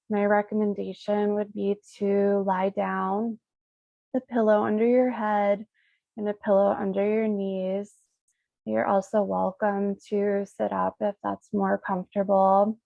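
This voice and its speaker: A woman leading a guided meditation as a Reiki practitioner, speaking soothingly in short, unhurried phrases with pauses. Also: medium pitch, gravelly, mellow, no room sound, dull audio.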